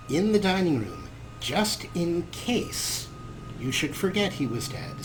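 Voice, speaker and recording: A man's voice: a little high, noisy background. A man hosting a gaming show with casual let's-play commentary, talking in a wry, rambling way.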